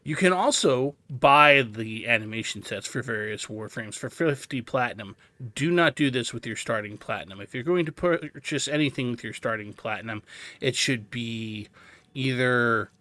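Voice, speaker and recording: Bright-voiced man giving a game walkthrough. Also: medium pitch, lively intonation, a little slow, no room sound. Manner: explanatory, thinking aloud. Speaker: video game tutorial host. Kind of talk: casual gameplay walkthrough commentary.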